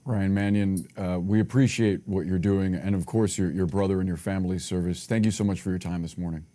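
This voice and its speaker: A man's voice: low voice, fairly even intonation, a little fast, dark tone. An American man presenting broadcast news as an anchor, reading scripted links and interviewing guests.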